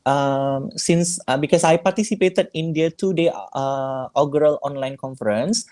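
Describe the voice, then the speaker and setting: A man's voice: on the high side, moderate pace, a little mellow. Hesitant man answering interview questions. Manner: hesitant, many fillers and restarts. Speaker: guest teacher, scholarship alumnus.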